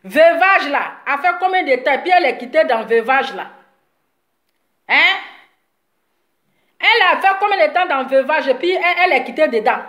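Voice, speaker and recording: Woman, high voice, scolding live rant, repetitive, slight cold, echoey room. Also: lively intonation, moderate pace, long pauses, fairly bright tone. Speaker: social media commentator. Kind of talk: live video rant to followers.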